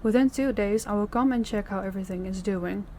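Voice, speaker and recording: A crime boss acted by a woman holds command with a measured, authoritative delivery. Her voice sits at a medium pitch in this audio drama, with slight noise behind it. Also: dark tone, natural voice. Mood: businesslike, commanding. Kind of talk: audio roleplay acting.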